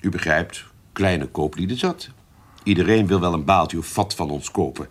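An actor playing a self-important wholesale merchant in a period audio drama. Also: male voice, low-pitched, moderate pace.

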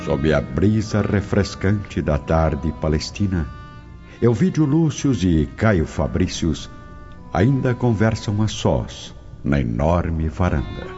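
A man's voice: low voice, sing-song, takes it slowly, mellow. A man narrating a radio drama from a script as a storyteller. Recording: dull lo-fi audio. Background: music.

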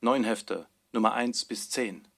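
A man's voice: fairly low pitch, a little slow, vocal fry, fairly bright tone. A man reading a scripted archive inventory in clipped, list-like phrases for a radio production.

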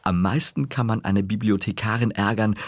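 A man's voice: on the low side, moderate pace, dry recording, clean studio sound, natural voice. A man doing a cocky scheming schoolboy. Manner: boastful, scheming. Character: cocky mischievous schoolboy, big brother. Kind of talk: audiobook reading.

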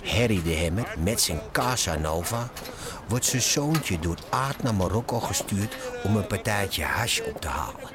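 An actor narrating a recap for a crime radio serial in a scripted style. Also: male voice, lowish voice, very animated, measured pace, crowd noise. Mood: dramatic, suspenseful. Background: loud music.

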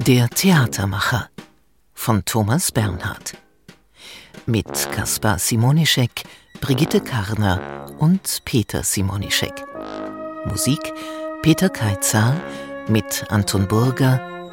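Male radio announcer, scripted credits, varied intonation, unhurried, strong musical backing. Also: fairly low pitch. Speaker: announcer reading closing credits.

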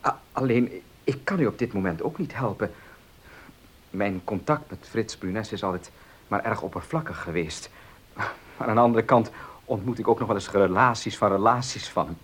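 An actor playing a courteous, eager, helpful gentleman in a Dutch radio play, formal and given to stammering repeats. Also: on the low side, normal speed, breathy edge.

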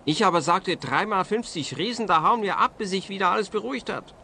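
An actor playing an excitable, defensive small-time crook in a comic radio play. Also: male voice, high voice, brisk, vocal fry, slight background noise, full character voice. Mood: agitated, confessing. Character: excitable, evasive crook, protesting his innocence. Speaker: actor playing a murder suspect.

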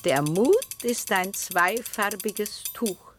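A woman muses and probes in a literary radio drama. Her delivery is unhurried and deliberate, with a wide lyrical rise and fall. She is calm yet gently insistent. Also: medium pitch, a little hiss or hum. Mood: musing, dreamy. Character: calm, musing, probing listener absorbed in knitting.

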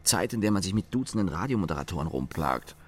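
A man's voice: low-pitched, expressive, fast talker, very noisy. An actor playing a cynical, disgruntled office engineer in a German radio play, dryly sardonic as he lists grievances. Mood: aggrieved. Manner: dry, sardonic, enumerating grievances.

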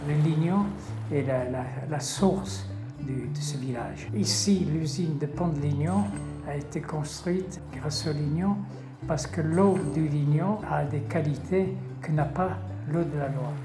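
A man explaining local history in a measured, halting way. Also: a little high. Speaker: local witness to factory history. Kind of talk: explanatory talk. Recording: roomy recording with music underneath.

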